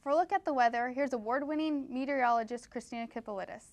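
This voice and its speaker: High-voiced woman news anchor. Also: fast talker, no room sound. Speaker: news anchor in a college's comedic promo newscast. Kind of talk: scripted mock news anchoring.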